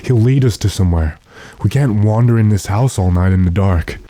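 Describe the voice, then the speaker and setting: A man playing a stern, determined gentleman in a radio drama, speaking in a commanding, impatient way. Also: fairly low pitch, fairly quick, no echo, lightly acted. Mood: intent, commanding. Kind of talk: classic radio drama acting.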